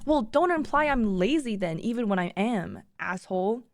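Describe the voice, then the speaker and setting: A woman voicing an excitable, indignant young female streamer who is quick to bicker, in a story. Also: medium pitch, no room sound, studio quality, close to an everyday voice.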